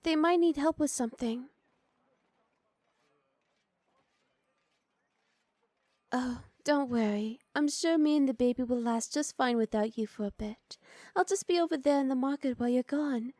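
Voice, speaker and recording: Young noble wife played by a woman, doting and playfully bossy, high voice, close dry recording, ASMR roleplay. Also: fairly quick, warm tone, no echo, close to an everyday voice. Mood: curious, gentle. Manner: sweet, coaxing, playfully scolding.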